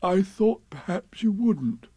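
A man voicing a slow, gentle, thoughtful bear in a storybook reading. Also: high-pitched, lively intonation, slightly rough, no echo, voice pushed up, exaggerated voice. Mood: musing.

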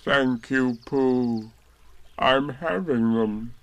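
A man voicing a gloomy old male donkey in a storybook reading, speaking slowly and mournfully. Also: a little high, animated, vocal fry, pitched above the performer's own voice, full character voice. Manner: slow, sad, drawn-out delivery.

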